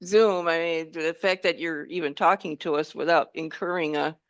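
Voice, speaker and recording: A woman's voice: low voice, fairly even intonation, normal speed, clear, resonant tone, no echo. A woman chairing a hybrid club meeting, chatty and welcoming with light jokes. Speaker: meeting host. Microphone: room microphone.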